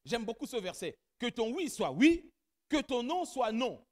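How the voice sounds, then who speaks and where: A middle-aged pastor whose mother tongue is not French preaches in church, with a high voice that swings widely in pitch. He speaks straight to the congregation and builds to loud, emphatic peaks. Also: very animated, measured pace. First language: not French; has another mother tongue. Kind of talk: sermon.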